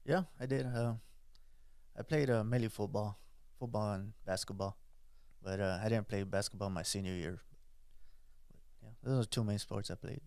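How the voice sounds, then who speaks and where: Low gravelly plainspoken man in his forties. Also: steady tone, moderate pace, a little mellow, dry recording, studio quality. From South Texas. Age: 40s. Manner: plainspoken, hesitant, many fillers. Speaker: guest veteran. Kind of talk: casual interview.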